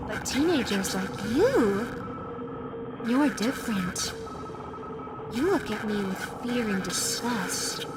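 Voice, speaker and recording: A woman's voice: fairly high pitch, expressive, slow pace, pauses often. A woman voicing a flirty, menacing female animatronic fox in an audio roleplay, playful, coy and teasing. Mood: playful menace. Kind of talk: audio roleplay addressed to the listener. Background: music.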